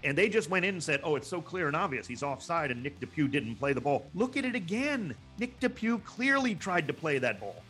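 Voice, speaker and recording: A man's voice: on the high side, very animated, a little fast, hardly any pauses, fairly bright tone, soft background music. Emphatic man arguing about sports. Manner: emphatic, exasperated, repeats words for stress. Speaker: sports broadcaster, guest pundit. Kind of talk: impassioned sports commentary, panel discussion.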